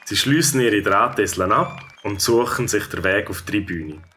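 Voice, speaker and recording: A man's voice: on the low side, normal speed, pushed and bright, a faint crowd, a little hiss or hum. A man with a Swiss accent narrating a children's story from a script.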